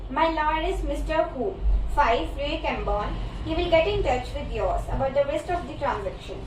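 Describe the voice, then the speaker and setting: A woman's voice: high-pitched, animated, normal speed. A young woman student playing a brash, boastful film star in an amateur reader's theatre piece. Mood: businesslike, bossy. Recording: echoey room, distant mic, clear noise.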